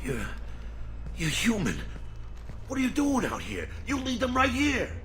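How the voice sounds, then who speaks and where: A man's voice: very high-pitched, huge pitch swings, a little slow, a bit bright, a little hiss or hum, heavily acted. A man playing a rattled, resentful colony mechanic in a video game, grumbling and stammering. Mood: shocked, panicky. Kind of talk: video game drama acting.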